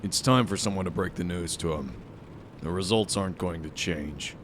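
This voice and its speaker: A man plays a reserved, compassionate school official in an audio drama. His voice is on the low side, formal and serious. A faint rumble sits behind it. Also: normal speed, natural voice. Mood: somber.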